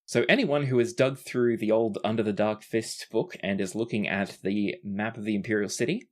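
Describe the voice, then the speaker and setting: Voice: medium pitch, steady tone, moderate pace. A game master and host narrating a tabletop roleplaying podcast in a descriptive way, sometimes self-correcting.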